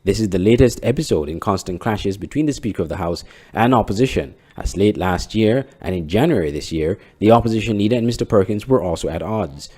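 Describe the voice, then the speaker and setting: A man's voice: on the low side, expressive, brisk, hardly any pauses, warm tone, breathy edge. A man, reading a scripted report as a news reporter.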